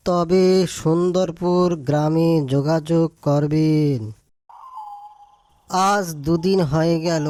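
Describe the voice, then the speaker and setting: Woman as a low, dark-voiced cartoon fox father. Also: no room sound. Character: worried, gentle fox father searching for his daughter.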